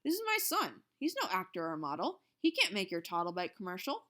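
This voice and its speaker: A woman voicing a firm, measured father who works at an advertising agency, in a storybook reading. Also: on the low side, huge pitch swings, bright, forceful tone, exaggerated voice.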